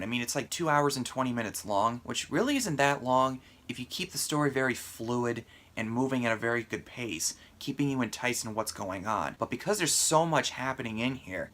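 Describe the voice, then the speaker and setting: Bright-voiced, slightly congested male movie reviewer. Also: medium pitch. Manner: conversational, opinionated, emphatic. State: mildly congested, sore throat. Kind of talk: casual video review commentary.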